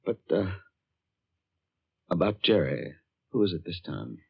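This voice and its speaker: Very deep, husky gentleman. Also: sing-song, a little slow, no echo, exaggerated voice. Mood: restrained concern. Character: gentlemanly male family friend, protective and restrained. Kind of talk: 1940s radio acting. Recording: muffled vintage 1940s radio recording.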